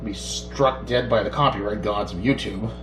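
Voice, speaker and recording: A middle-aged man casually demonstrating electronics at a workbench, thinking aloud in an unhurried way as he works. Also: fairly low pitch, over music. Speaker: electronics repair hobbyist, host. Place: small indoor home workshop at a bench. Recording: roomy, distant mic with background noise.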